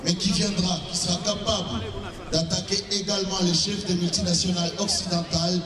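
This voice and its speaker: A man's voice: high voice, flat delivery, brisk. A man speaking for a youth association, declaiming a protest speech in short rallying phrases. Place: crowded rally. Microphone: far from the mic. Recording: distant echoey hall with crowd. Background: crowd.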